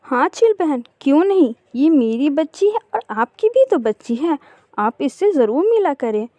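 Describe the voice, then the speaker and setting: A woman voicing a small, gentle mother songbird in a cartoon story, eager and tender. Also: high-pitched, big pitch swings, moderate pace, dry recording. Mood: grateful.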